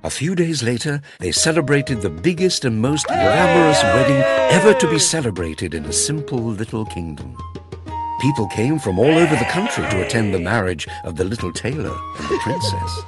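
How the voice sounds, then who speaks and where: A man narrating a children's fairy tale in an expressive, theatrical storytelling manner. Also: mid-range voice, moderate pace. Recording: music behind the voice. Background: music.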